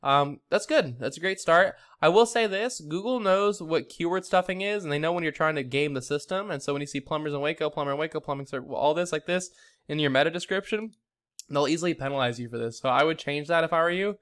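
A man's voice: on the high side, fast talker, a bit bright. A man giving a casual, chatty and upbeat screen-share tutorial to a client, like a consultant reviewing a website. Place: screen recording voice-over.

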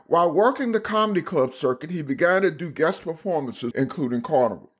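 Podcast host, male, high-pitched voice, interview format with scripted intros.

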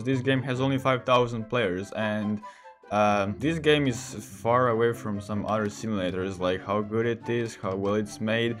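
A sixteen-year-old male gamer who is not a native English speaker, giving casual, rambling commentary as he hosts a gameplay video. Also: mid-range voice. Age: 16, teenager. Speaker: gaming video host. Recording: voice under loud game music. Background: music.

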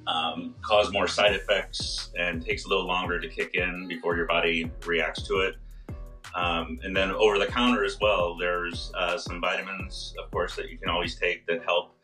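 Hoarse deep-voiced pharmacist on a call. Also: male voice, little pitch movement, moderate pace, bright, forceful tone, far from the mic, faint music behind. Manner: explains step by step with many fillers. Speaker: pharmacist guest on a radio show. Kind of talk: casual health talk. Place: small room indoors. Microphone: video call.

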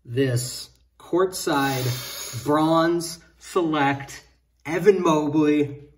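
A man in his thirties, a little high-pitched, talks excitedly as he reveals trading cards one after another. He sits at some distance from the mic in an indoor room, so his voice sounds a touch far off. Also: extremely slow, a little hiss or hum. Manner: excitable, hyping each card pull. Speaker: co-host opening card packs. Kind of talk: casual card-opening chat.

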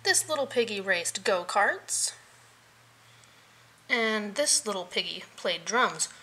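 A woman reading a children's picture book aloud in an expressive, playful way, adding vocal sound effects. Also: mid-range voice, slow pace, slight background noise.

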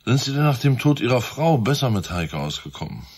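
An actor playing a shrewd, courteous police inspector in a radio drama, smoothly probing. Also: male voice, mid-range voice, big pitch swings, brisk, a little mellow. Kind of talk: German radio drama acting.

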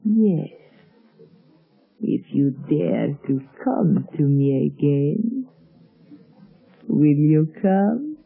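An actress playing a defiant, deadly sorceress, haughty and threatening, in 1930s radio drama style. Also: female voice, sits low, animated, drawn-out words, vocal fry, long pauses, slightly rough, heavily acted. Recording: muffled 1930s radio broadcast.

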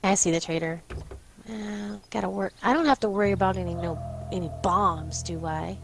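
A woman's voice: mid-range voice, moderate pace, vocal fry, slight background noise. A woman chatting casually as co-host of a co-op gameplay video. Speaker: co-host gamer. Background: music.

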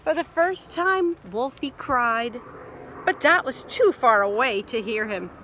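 A middle-aged woman reading a picture book aloud to children outdoors in an expressive way, pausing to ask questions. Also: fairly high pitch, background noise. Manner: expressive, asks listeners questions. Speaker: library story reader. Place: outdoors in a leafy yard.

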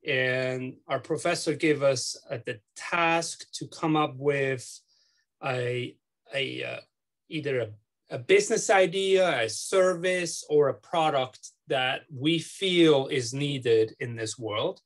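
A middle-aged man from Switzerland with a Swiss accent, interviewing someone over a video call from his home office, talking haltingly with many fillers and restarts. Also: medium pitch. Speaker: student interviewer. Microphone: laptop webcam on video call.

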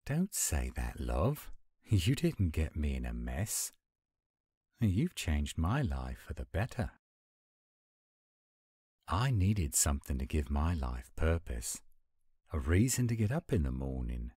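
A man voicing an affectionate, gruff-but-sweet werewolf boyfriend in a tender, intimate romantic audio roleplay. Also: rumbling low, sing-song, slow, long pauses, mellow, no echo, studio quality, heavily acted. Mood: hopeful, affectionate.

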